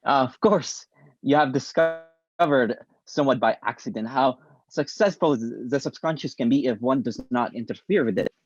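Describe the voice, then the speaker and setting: A man acting a learned theater director and mentor in a play read-through, measured and instructive, slightly muffled. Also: medium pitch, natural voice. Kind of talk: stage play table read.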